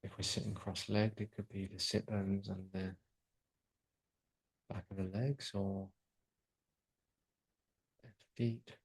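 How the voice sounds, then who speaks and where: Man, meditation guide, very slow pace, even unvarying tone, soothing, short phrases with pauses. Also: lowish voice, vocal fry, husky. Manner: soothing, unhurried, pausing between short phrases. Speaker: yoga nidra guide.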